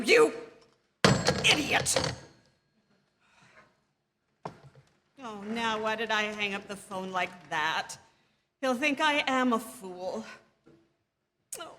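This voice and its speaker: Shrill, high-strung invalid wife in a theatre. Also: female voice, very animated, takes it slowly, pauses often, pushed and bright, slight background noise, heavily acted. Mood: frantic, desperate. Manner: querulous, imperious, melodramatic. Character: nervous, demanding bedridden invalid wife, growing frantic. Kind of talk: theatrical radio suspense acting. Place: theatre stage. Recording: live theatre stage, long hall reverb.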